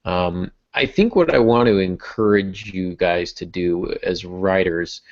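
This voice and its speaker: A man with a distinctly low voice talks in a casual, explanatory way, as a host teaching writers would. The sound is somewhat muffled and lo-fi. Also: unhurried. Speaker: podcast host and writing teacher. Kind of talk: casual explanatory podcast talk. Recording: dull, muffled lo-fi audio.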